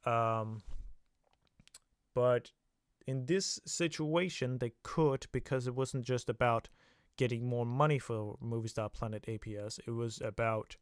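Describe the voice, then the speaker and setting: A man's voice: medium pitch, steady tone, a little slow, clean tone, no room sound, studio quality. A young man hosting a casual livestream, talking in a loose, hesitant way full of filler sounds. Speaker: livestream host.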